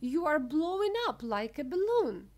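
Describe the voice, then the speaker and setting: A high, screechy storybook mother, acted by a woman reader, panicky and indignant. Her exclamations swing widely in pitch and come out loud and drawn. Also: big pitch swings, a little slow, heavily acted. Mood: panicked shrieking.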